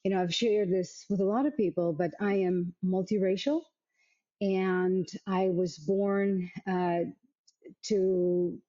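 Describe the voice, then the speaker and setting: A middle-aged woman from Africa, telling her story as an interview guest in a thoughtful, halting way with many repeated words. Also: medium pitch, steady tone, mellow. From South Africa. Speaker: guest, arts nonprofit vice president. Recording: dull, muffled lo-fi sound.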